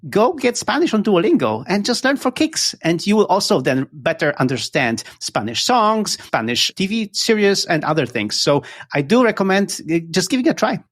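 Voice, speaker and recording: A man's voice: high-pitched, big pitch swings, normal speed, few pauses, fairly bright tone. A man from Poland with a Polish accent, a guest on a podcast, explaining ideas eagerly with examples. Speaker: guest, app founder. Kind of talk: casual interview chat.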